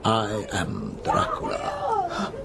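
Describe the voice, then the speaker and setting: An actor playing a menacing aristocratic vampire, grand and deliberate, in a gothic audio drama. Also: male voice, high-pitched, wildly swooping pitch, vocal fry, bright, forceful tone, a little hiss or hum, heavily acted. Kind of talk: gothic radio drama acting.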